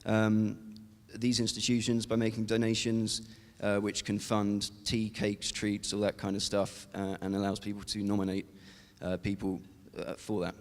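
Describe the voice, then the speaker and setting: Low-voiced man pitching with many fillers. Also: monotone, normal speed. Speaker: developer pitching an idea. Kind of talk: short startup pitch. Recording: long hall reverb.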